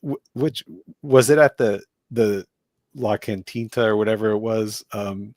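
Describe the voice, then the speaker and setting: A man's voice: on the low side, measured pace, darkish tone, no room sound, studio quality. A man hosting a casual podcast chat from New Jersey, joking and rambling with lots of fillers. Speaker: host.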